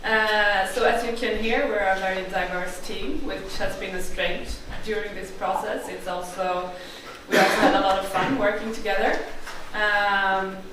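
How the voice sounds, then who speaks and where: A woman from Sweden presents her team's project to an audience, pausing on frequent fillers. Her voice sounds distant in a big, very echoey hall, with faint room noise behind it. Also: mid-range voice, level tone. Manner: speaks with frequent uh fillers, friendly and plain. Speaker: student team presenter.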